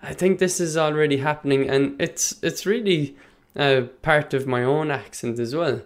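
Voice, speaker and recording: A man with an Irish Munster accent teaching about the Irish language in a casual, thoughtful way, pausing often with fillers and correcting himself. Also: a little high. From Ireland. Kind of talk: casual spoken lesson, talking to the listener.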